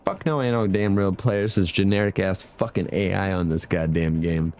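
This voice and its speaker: A man's voice: low-pitched, normal speed, darkish tone, a little hiss or hum. A man giving casual gameplay commentary, swearing freely in an irritated, griping manner. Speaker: game streamer.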